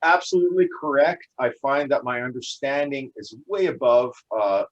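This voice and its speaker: A man in his forties from Canada, speaking at an ordinary middle pitch as an interview guest. He talks casually and haltingly, filling gaps with ums and restarting his sentences, and laughs often as he goes. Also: expressive, highs cut off. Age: 40s. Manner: hesitant, many fillers and restarts.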